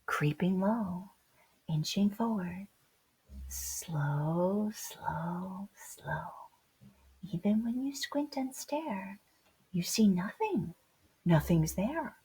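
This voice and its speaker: A woman reading rhyming picture book poems aloud to children in a theatrical way, moving between hushed whispers and loud exclamations. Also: mid-range voice, expressive, drawn-out words, breathy edge, poor audio. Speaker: children's storybook reader.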